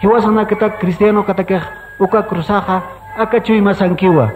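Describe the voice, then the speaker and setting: An actor playing an earnest, brotherly evangelical pastor in a radio drama. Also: male voice, sits high, fairly even intonation, fairly quick, close to an everyday voice. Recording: dull reverberant radio drama, faint music.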